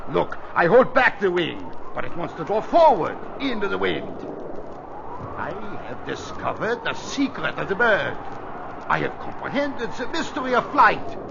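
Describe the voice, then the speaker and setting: An actor playing a hot-tempered, obsessive French sea captain with a French accent, theatrical and commanding, in an old-time radio drama. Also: male voice, high voice, big pitch swings, unhurried, vocal fry, exaggerated voice. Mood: excited wonder. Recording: dull, noisy old radio transcription.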